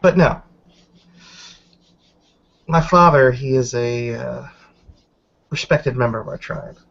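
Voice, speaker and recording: A man's voice: medium pitch, takes it slowly, creaky, a bit bright, full character voice. A man roleplaying a guarded female werewolf newcomer in a tabletop game, choosing formal words with careful hesitations. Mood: candid. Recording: dull lo-fi voice recording.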